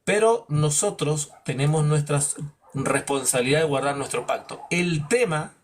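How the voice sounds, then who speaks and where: A man's voice: lively intonation, normal speed. A man teaching a Bible lesson in a preaching style, asking rhetorical questions and acting out imagined dialogues. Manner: emphatic, drops low on verdicts.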